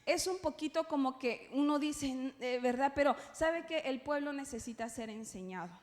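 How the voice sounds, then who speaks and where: A woman with a Peruvian Spanish accent and a high voice teaches a church class. She speaks informally, with playful asides and frequent little checking tags. Her voice rings through a hall with long reverb. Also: normal speed. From Peru.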